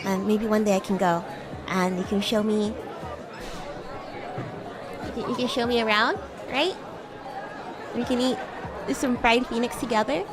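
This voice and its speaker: A woman livestreaming in character as a bratty, playful young demon classmate, chatting in a giggly way with drawn-out, hesitant words. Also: fairly high pitch, music in the background, crowd noise. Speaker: VTuber streamer.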